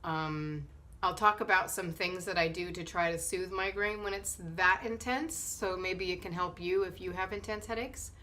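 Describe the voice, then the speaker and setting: A woman in her thirties with a cold, sounding a little nasal, talking casually to camera in a living room and pausing often with fillers. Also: fairly low pitch, sharp tone, a little hiss or hum. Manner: hesitant, many fillers and restarts. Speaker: vlogger. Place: sunny living room indoors.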